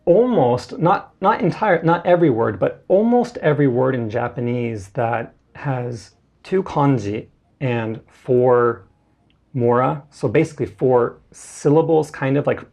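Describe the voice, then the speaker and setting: Creaky, husky young man explaining casually. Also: mid-range voice, a little slow, a little mellow. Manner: loose and explanatory, many "like" fillers. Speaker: interview guest, Japanese tutor. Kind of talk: casual conversational interview.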